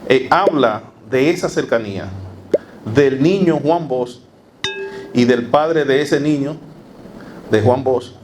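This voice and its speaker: A man giving a university lecture, speaking in a measured way with frequent fillers and rhetorical asides. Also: mid-range voice, noisy background. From Dominican Republic. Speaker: academic speaker.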